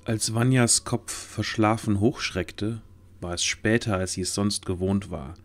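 A man's voice: fairly low pitch, a little slow. A man reading scripted narration for a fantasy audio drama. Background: faint music.

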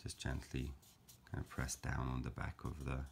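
Deep gravelly guy doing a calm paper craft tutorial, lots of ums. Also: slight background noise. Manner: gentle, measured, explanatory with frequent fillers.

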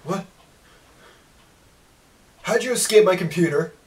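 A man's voice: high voice, slow. A young man acting in an amateur comedy skit with exclamatory, exasperated delivery, recorded on a camera in a bedroom. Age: young adult. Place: small home bedroom, indoors.

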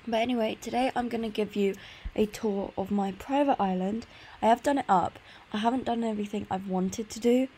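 Female gaming host, casual walkthrough narration, sore throat and tired, creaky voice over audible background noise. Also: a little high, a little fast, mellow. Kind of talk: casual gameplay tour commentary.

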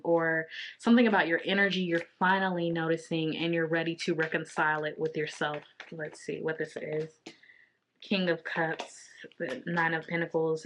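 A woman in her thirties, a little raspy from long talking, giving a casual tarot reading to the camera from a small home office. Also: low-pitched, a little hiss or hum, poor audio. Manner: intuitive, repetitive, drawn-out card naming. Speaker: tarot reader.

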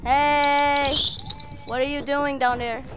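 A woman's voice: high-pitched, flat delivery, slow pace, soft background music. A woman chatting casually as a player in an improvised game roleplay.

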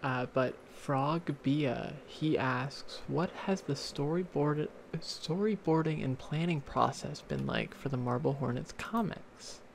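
A man hosting a casual livestream Q&A on a video call, chatty and quick to laugh, reading out questions from chat. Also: a little high, vocal fry, slight background noise.

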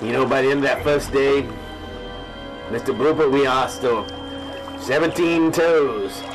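A grizzled fisherman, acted by a man, spins a grim yarn in a parody radio play. He talks in a folksy, grave voice at middling pitch, pausing as he builds the story, with music clearly behind him. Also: natural voice, rough recording. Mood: grave, haunted. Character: grizzled veteran muskie fisherman recounting a grim ordeal, spoofing a salty sea hunter. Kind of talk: parody radio drama monologue.

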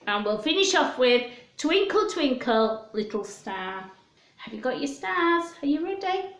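A woman's voice: high-pitched, sing-song, a little slow, a bit bright. A woman in her fifties hosting story time for young children, talking simply and in a sing-song way with questions. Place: small room at home. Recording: roomy, echoey home recording.

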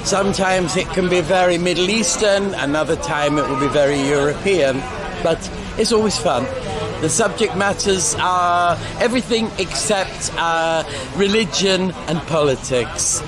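An older man with a fairly high voice chats and jokes as he introduces friends around a table. He speaks close to the camera on an outdoor café terrace. Loud crowd chatter fills the background. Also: unhurried. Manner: chatty, jovial, teasing. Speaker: group organizer. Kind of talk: casual introductions. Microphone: camera held close.

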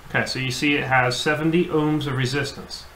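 A man, an HVAC technician, demonstrates hands-on at a workbench, in a voice of middle pitch. He explains plainly and circles back with fillers. The recording has the echo of a bare room and a faint background hum. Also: steady tone, brisk, bright, forceful tone.

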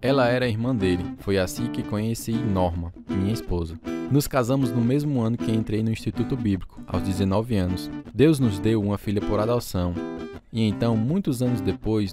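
A Brazilian actor playing a pastor reflects earnestly on his own life in a radio drama. His voice sits a little low and moves in measured phrases, with loud guitar music behind it. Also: close to an everyday voice. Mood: reflective. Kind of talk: radio drama narration and dialogue.

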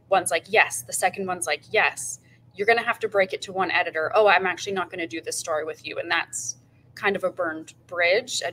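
A woman's voice: lowish voice, fairly bright tone, slight background noise, poor audio. A young woman, a magazine editor on a panel, giving practical dos and don'ts with frequent ums, in a meeting room heard from a camera across the room. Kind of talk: panel advice talk.

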